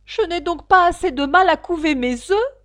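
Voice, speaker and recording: A woman voicing an anxious, shrill female pigeon guarding her eggs, shrieking and scornful. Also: lively intonation, normal speed, fairly bright tone. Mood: aggrieved.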